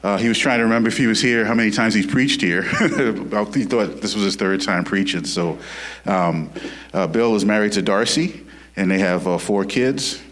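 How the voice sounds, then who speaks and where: An American man with a fairly low voice speaks as a church host. His delivery is informal and unpolished, feeling its way through an introduction. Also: moderate pace, fairly bright tone. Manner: hesitant, unpolished.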